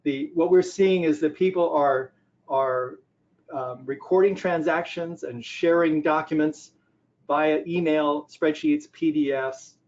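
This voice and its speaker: Older man, slightly high voice, conversational presenter, explanatory, question-and-answer phrasing, dull lo-fi audio. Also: a little mellow. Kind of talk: conversational business technology presentation.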